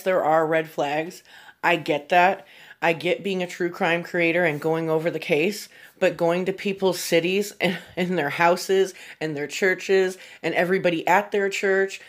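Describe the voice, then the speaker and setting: A woman with a slightly low voice hosts a true crime commentary. She speaks straight to her viewers in a blunt, disapproving way. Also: fairly even intonation, a little slow.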